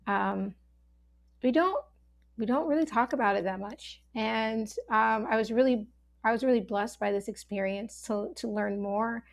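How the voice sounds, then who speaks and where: A woman giving a sincere, casual panel reflection, measured and earnest, pausing between phrases. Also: on the high side, gravelly. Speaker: actor.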